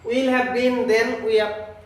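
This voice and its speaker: A man teaches in a strikingly high voice, recorded in a heavily echoing room away from the microphone. He explains step by step like a classroom teacher, repeating himself deliberately. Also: little pitch movement. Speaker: English grammar teacher. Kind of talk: classroom lesson addressed to students. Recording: echoey room, distant microphone.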